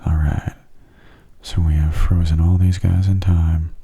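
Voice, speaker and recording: A man giving soft-spoken ASMR video game commentary in a breathy, hushed, often half-whispered voice, gentle and understated. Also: deep voice, flat delivery, measured pace.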